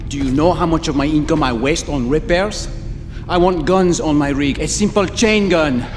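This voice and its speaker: A man acting as a sarcastic, indignant rig pilot in a sci-fi game. His slightly high male voice grumbles through a long reverb over music. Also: moderate pace, lightly acted. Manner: sarcastic, complaining. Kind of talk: video game cutscene acting.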